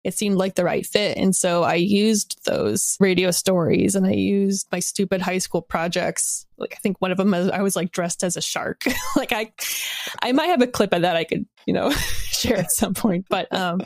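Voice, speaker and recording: Woman, thirties-plus, casual interview guest, mid-pitched voice, self-deprecating and loose, recorded dry. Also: moderate pace, no echo. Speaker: podcast guest, audio drama creator.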